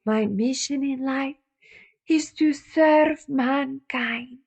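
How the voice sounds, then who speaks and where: A woman's voice: high-pitched, drawn-out words, gravelly, darkish tone, highs cut off, exaggerated voice. A woman playing a solemn, theatrical phony psychic with a grandiose, mystical delivery in a comedy audio drama. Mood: lofty, pious.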